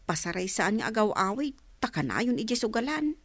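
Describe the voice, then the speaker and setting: Woman playing a shy daughter of a poor family, high voice, Ilocano accent, dry close sound, radio drama. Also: big pitch swings, moderate pace, breathy edge, no room sound. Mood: worried. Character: bashful, worried young daughter of a poor family.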